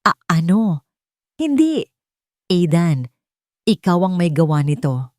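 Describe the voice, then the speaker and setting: A woman voicing a scheming male villain in an audio story, speaking slowly and tauntingly. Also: on the low side, sing-song, a little mellow, dry recording, clean studio sound. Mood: panicked.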